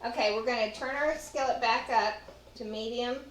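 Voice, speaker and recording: A woman's voice: on the high side, fairly even intonation, normal speed, a bit bright, slight background noise. Texan lady talking thru a recipe at home, echoey kitchen, dishes clanking behind. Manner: chatty, talks to viewers while working. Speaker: cooking show host. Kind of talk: casual cooking demonstration. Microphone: far camera.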